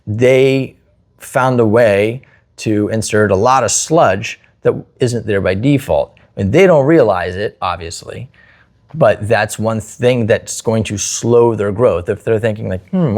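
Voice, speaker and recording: A man's voice: fairly low pitch, moderate pace, darkish tone. A man talking casually and explaining his opinions as a host, often walking through hypothetical examples. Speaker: host, security installer.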